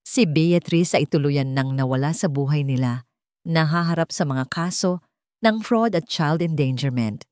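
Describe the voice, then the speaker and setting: Lower-voiced woman narrating a dramatic story. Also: darkish tone, no echo, studio quality. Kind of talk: scripted dramatic narration of love stories.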